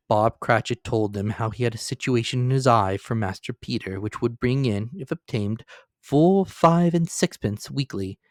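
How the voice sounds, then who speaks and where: A man giving a dramatic, measured reading of a classic novel. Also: medium pitch, darkish tone, dry recording, studio quality. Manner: measured, theatrical storytelling. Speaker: reader.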